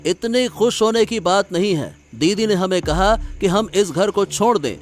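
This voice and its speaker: A man's voice: high-pitched, expressive, normal speed, a little hiss or hum. A man voicing a wary, compliant husband in a scheming couple for a cartoon story. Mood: uneasy. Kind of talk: Hindi cartoon story voice acting.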